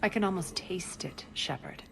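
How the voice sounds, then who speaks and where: A woman playing a ruthless, imperious crime boss in a video game, commanding, sardonic and deliberate. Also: fairly low pitch, animated, in a whisper, close to an everyday voice, rough recording. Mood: menacing, intense. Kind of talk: dramatic video game voice acting.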